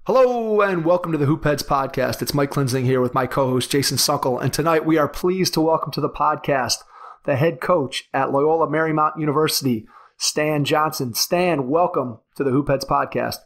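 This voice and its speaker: A man hosting a basketball podcast, reading a guest introduction and promos in a crisp announcer delivery, then asking questions. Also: a little high, moderate pace, no room sound. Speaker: podcast host. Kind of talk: scripted intro and ad reads, then interview questions.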